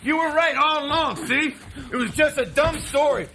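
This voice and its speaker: An actor playing a rough, volatile drifter son in a stage play, with a gravelly American voice and a defiant, folksy bluster. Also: male voice, very high-pitched, normal speed, pushed and bright, slight background noise, full character voice. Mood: glib, dismissive.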